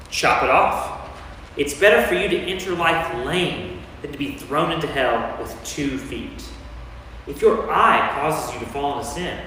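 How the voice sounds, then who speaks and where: Stern commanding teacher acted by a man, forceful, slightly high voice, declamatory Bible drama, very echoey hall. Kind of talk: amateur staged Bible reading. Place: large church interior. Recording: echoey church hall from far off, faint noise.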